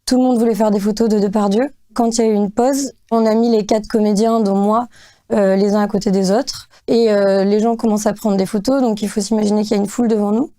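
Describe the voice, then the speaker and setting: A young woman giving interview testimony, hesitant with frequent fillers and pauses. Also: mid-range voice, mellow.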